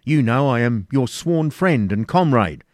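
A man's voice: medium pitch, lively intonation, measured pace, no room sound, clean studio sound, voice pushed up, natural voice. Man playing a confident, teasing medieval knight. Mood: placating. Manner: archaic, wry, provoking.

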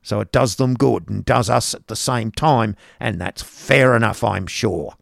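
A man voicing a sharp-tongued, stingy woman who scolds bluntly, in an audiobook reading. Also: lowish voice, measured pace, vocal fry, no room sound, studio quality. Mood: scolding. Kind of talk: audiobook character voice.

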